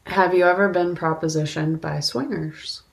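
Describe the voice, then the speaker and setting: Female podcast co-host: unhurried, creaky low-ish voice, blunt and opinionated in casual film chat. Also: steady tone.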